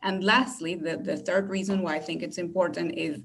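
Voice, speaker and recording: A woman with a slightly low voice speaks English with a Venezuelan accent, as a native Spanish speaker. She presents in an informative, promotional style as a university recruiter. Her delivery is explanatory, with small conversational hedging phrases. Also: fairly even intonation, moderate pace, vocal fry, breathy edge. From Caracas, Venezuela. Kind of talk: informative promotional talk to camera.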